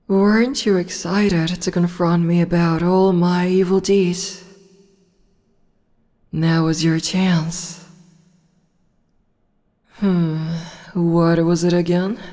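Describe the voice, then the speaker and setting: A woman voicing a slow, teasing demon empress. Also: fairly low pitch, level tone, in a large hall. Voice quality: soft, slow and teasing, with whispered asides. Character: teasing female demon empress, regal and amused. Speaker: voice actor. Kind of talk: ASMR audio roleplay.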